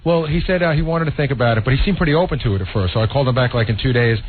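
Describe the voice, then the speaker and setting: Very fast-talking man, tinny radio. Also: medium pitch. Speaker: radio show staffer. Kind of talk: casual recounting on air. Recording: tinny narrowband old radio recording, faint hiss.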